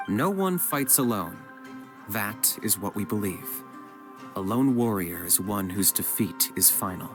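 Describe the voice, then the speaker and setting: A man playing a calm, serious young warrior in a video game, measured and matter-of-fact. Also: lowish voice. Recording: music behind the voice.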